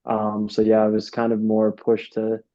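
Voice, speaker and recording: A man's voice: lowish voice, completely flat delivery, normal speed, warm tone, muffled recording. A young man from upstate New York, a soccer coach, answering interview questions in a casual, rambling way full of fillers and restarts. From Rochester, New York.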